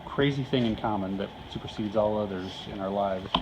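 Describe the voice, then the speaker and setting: A man's voice: lowish voice, brisk, noisy background. A man interviewing fellow participants in a casual, chatty backstage style. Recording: dull sound amid loud crowd chatter. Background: busy crowd chatter.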